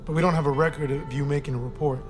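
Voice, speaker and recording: A man's voice: a little high, steady tone, rapid-fire, music in the background, lightly acted. A man playing a formal, probing army psychiatrist in an audio drama.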